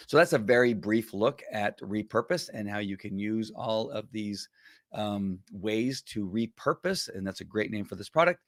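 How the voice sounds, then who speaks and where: Chatty middle-aged man, dry low voice. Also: a bit bright, no echo. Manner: chatty, rambling, many fillers and restarts. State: recovering from losing his voice. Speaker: host of a live video show. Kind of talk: casual screen-share tutorial. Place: small home studio with whiteboard. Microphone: studio mic on boom arm.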